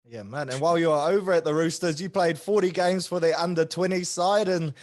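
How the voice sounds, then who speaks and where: A New man hosting a sports podcast, asking questions in a relaxed, matey way. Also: on the high side, a little fast. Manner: relaxed, matey, says bro often. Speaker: podcast host. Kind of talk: casual podcast interview.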